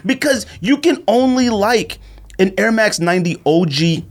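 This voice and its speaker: A man with a slightly high voice hosts a casual podcast. His pitch swings widely as he talks, and he comes across as animated and opinionated, using plenty of slang. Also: moderate pace. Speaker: podcast host. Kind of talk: casual sneaker podcast chat.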